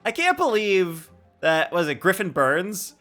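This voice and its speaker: A man's voice: sits high, very animated, measured pace, bright, forceful tone, soft background music. A man doing casual live commentary as he streams a video game, playful, joking and mock-argumentative.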